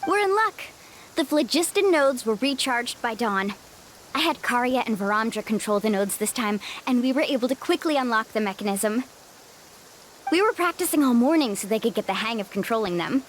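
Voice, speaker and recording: A woman's voice: on the high side, expressive, moderate pace, a little hiss or hum, lightly acted. An actress playing a confident, upbeat young woman guide in a video game, leading and reassuring her companions, with game music underneath. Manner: bright, reassuring, leads others on.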